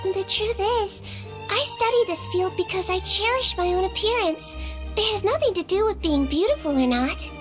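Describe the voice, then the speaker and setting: A woman voicing a small, gentle young girl nurse of a fairy-like species, polite and composed, in anime video game dialogue. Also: very high-pitched, animated, moderate pace. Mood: calm, sincere. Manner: composed, courteous, matter-of-fact. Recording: music bed behind the voice.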